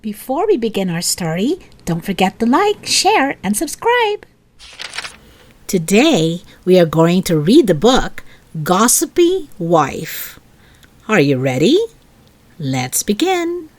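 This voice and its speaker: A woman reading a story aloud to children in an expressive, deliberate, child-directed way. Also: mid-range voice.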